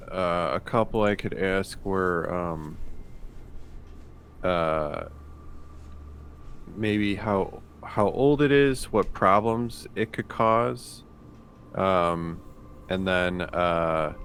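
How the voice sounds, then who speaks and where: A man with a deep voice talks slowly at a game table as a player. He is casual and hesitant, working out his thoughts aloud, with faint noise in the background. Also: a bit bright.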